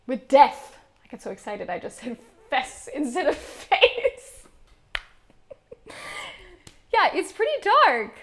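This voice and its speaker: A young woman with a high voice chatting casually about her singing practice. She speaks at an unhurried pace and pokes fun at herself, laughing often. The talk is loose and friendly, like someone thinking out loud. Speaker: singer streaming vocal practice.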